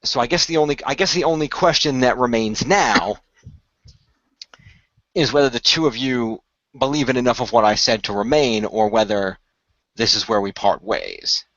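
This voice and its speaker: A man playing a composed, knowledgeable leader of a secret hero team, speaking in measured, formal explanations. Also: medium pitch, fairly bright tone, dry recording, natural voice. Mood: earnest, explanatory. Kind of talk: amateur radio play acting. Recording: dry, slightly muffled home recording.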